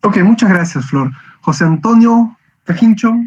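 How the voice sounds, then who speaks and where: High-voiced male teacher on a call. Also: lively intonation, normal speed, a little mellow. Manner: brief, polite acknowledgements. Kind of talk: calling on students in an online class. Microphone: video call. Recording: muffled video call audio.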